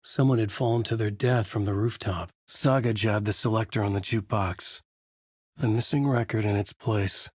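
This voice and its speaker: A brooding, haunted horror novelist for a game, speaking in a low, husky register. He delivers grim noir-style inner monologue, close and dry with no room sound around him. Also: steady tone, normal speed, a little mellow, no echo. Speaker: narrator. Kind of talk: video game voice lines.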